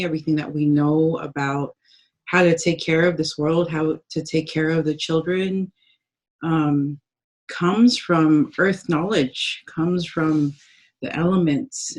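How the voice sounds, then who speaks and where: A woman from the northern Philippines, a guest in an interview, speaking slowly and thoughtfully with many pauses. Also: deep voice, a little mellow. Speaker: guest, herbalist and organizer. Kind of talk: reflective interview talk. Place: home, in the Bay Area.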